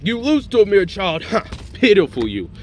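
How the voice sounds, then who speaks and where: A man's voice: high voice, wildly swooping pitch, moderate pace, a little mellow, a little hiss or hum. A man putting on a sneering Russian mercenary boss. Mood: scornful. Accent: put-on Russian. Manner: sneering, condescending lecture. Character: scornful Russian mercenary commander, adult man.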